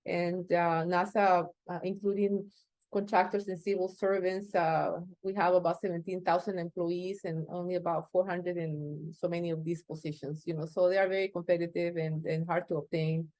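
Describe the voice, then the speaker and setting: A woman in her fifties from Costa Rica, speaking English with a Costa Rican accent as an interview guest, answering haltingly with many fillers and restarts. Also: fairly low pitch, little pitch movement, darkish tone, no room sound, rough recording. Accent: Costa Rican Spanish. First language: Spanish. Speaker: interview guest, space agency executive.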